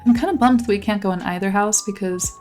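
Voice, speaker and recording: A woman's voice: medium pitch, fast, a little mellow, faint music behind. A young woman giving casual, gushing commentary while she tours a game island, her voice a little worn from losing it lately. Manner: bubbly, gushing, exclamatory. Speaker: channel host touring a game island.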